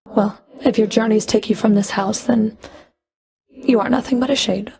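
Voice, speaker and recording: A woman's voice: on the high side, fairly even intonation, moderate pace, vocal fry, mellow. A woman playing a stern, sardonic underworld fury in a video game, speaking in a cool, clipped, dry and commanding manner. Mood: soft, restrained. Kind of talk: video game character voice lines.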